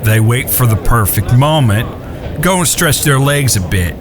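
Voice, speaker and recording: A man playing a blunt outlaw kid brother, mid-pitched. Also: expressive, unhurried, noisy background, lightly acted. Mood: practical, restless. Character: blunt, trigger-happy youngest outlaw brother, practical. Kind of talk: audio drama acting.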